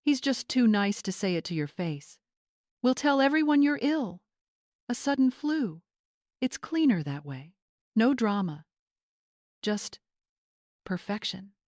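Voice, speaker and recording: A woman's voice: a little high, sing-song, slow pace, long pauses, darkish tone, no echo, studio quality, pitched above the performer's own voice. A woman voicing a smug young social-climbing bride, condescending and affected in an audiobook story. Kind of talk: dramatized audiobook character voice.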